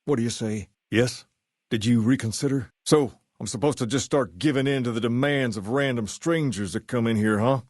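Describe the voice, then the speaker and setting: Game role: suave male gang boss, played by a man, cocky crooner-idol swagger, slangy, mid-pitched, dry studio sound. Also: normal speed, darkish tone, no room sound, lightly acted. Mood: candid, persuasive, then firm, dismissive. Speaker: video game voice actor. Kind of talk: scripted game character dialogue.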